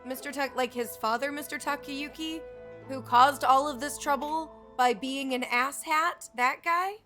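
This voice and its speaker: A woman's voice: sits high, fairly even intonation, a little slow, pushed and bright. A woman doing casual, chatty live commentary as she streams a video game, reacting informally to the story. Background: game music.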